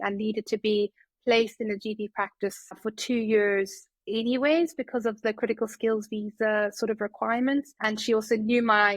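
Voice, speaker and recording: A woman's voice: fairly high pitch, no echo. South African woman in her 30s, chatty interview guest, loose and conversational, dry close sound. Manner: chatty, many fillers and restarts. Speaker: guest doctor. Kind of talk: casual interview talk.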